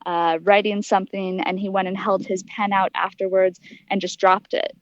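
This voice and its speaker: A woman's voice: medium pitch, little pitch movement, moderate pace, no echo, lo-fi sound. An American woman giving informal, detailed and articulate explanations as an interview guest. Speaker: interview guest, aspiring astronaut.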